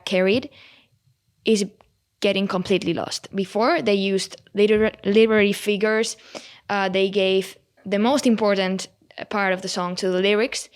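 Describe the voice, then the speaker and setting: A nineteen-year-old woman with a Spanish accent, arguing earnestly on a student podcast. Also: mid-range voice. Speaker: student panelist.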